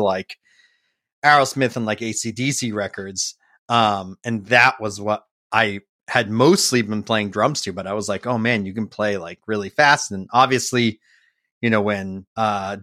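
A man's voice: on the low side, fairly bright tone, dry recording, studio quality. A man from Massachusetts, a guest musician on a podcast, telling stories in a rambling casual way full of fillers and restarts.

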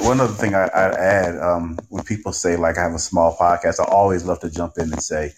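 Deep-voiced man giving measured advice. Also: darkish tone, a little hiss or hum. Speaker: podcast interviewer, panelist. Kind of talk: conversational advice on a panel.

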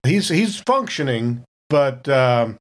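A man playing a tabletop role-playing game, describing his character in a measured, wordy way. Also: medium pitch, big pitch swings. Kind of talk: improvised in-character narration.

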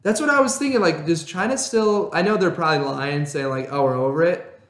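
A young man co-hosting a podcast in casual chat, rambling and excitable, laughing often. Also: fairly high pitch, brisk. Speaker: podcast co-host. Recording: roomy echo.

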